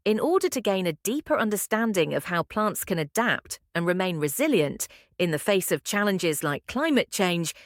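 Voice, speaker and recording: A woman's voice: fairly low pitch, big pitch swings, moderate pace, dry recording. Woman reading science narration, dry sound. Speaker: narrator reading practice passages. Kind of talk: scripted read-aloud science narration.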